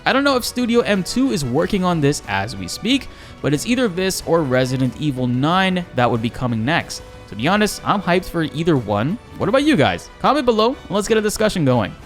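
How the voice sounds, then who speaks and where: A man's voice: a little high, sing-song, a little fast. A man giving informal, enthusiastic gaming news commentary as a YouTuber, addressing his viewers directly. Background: faint music.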